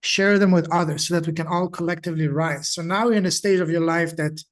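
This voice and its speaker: A man's voice: on the high side, fairly even intonation, fast talker. A man hosting an interview podcast, talking in an encouraging, motivational way. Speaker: podcast host.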